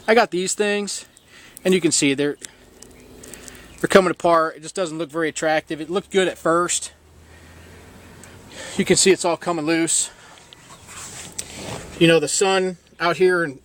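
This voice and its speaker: Rambling middle-aged man showing his boat. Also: fairly high pitch. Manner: rambling, lots of fillers and restarts, self-deprecating. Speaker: boat owner showing his own repair work. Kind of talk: casual do-it-yourself walkthrough. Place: outdoors on a boat.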